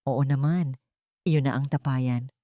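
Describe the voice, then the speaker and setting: A woman's voice: rumbling low, fairly even intonation, unhurried, warm tone, dry recording, studio quality, voice pushed down. A woman voicing a cold, controlled, vengeful female schemer in an audio story. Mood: cool triumph.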